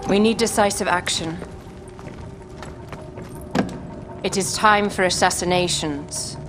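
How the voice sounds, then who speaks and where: An actress voicing a regal, commanding young exiled queen of Egypt in a video game, speaking formally and deliberately. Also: lowish voice, fairly even intonation, background noise, natural voice. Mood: grave. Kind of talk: scripted video game cutscene acting.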